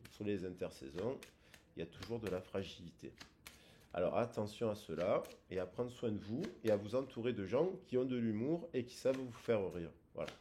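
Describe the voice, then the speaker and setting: A man giving a casual tarot reading, rambling with many pauses and fillers. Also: fairly low pitch, animated, dark tone, slight background noise. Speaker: tarot reader.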